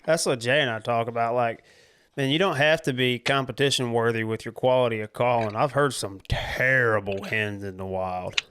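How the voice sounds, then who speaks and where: A man's voice: medium pitch, steady tone, normal speed, a bit bright, clean tone. A man co-hosting a podcast, chiming in agreeably during a casual chat.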